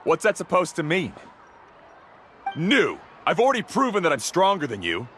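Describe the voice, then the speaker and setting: Brash, boastful adventurer, animated. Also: male voice, a little high, moderate pace, slight background noise. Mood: indignant. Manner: brash, defensive. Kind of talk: video game character acting.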